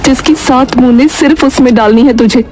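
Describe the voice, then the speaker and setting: A woman voicing an old village grandmother in a cartoon story, speaking urgently and prophetically, with halting, repeated words. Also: high-pitched, darkish tone, pitched above the performer's own voice, natural voice. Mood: urgent, solemn. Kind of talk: dramatic cartoon story acting. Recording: prominent background music. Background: music.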